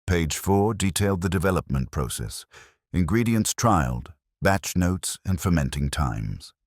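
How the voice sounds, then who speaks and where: A man narrating a mystery story in a measured, scripted storytelling delivery. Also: low voice, lively intonation, no room sound, clean studio sound.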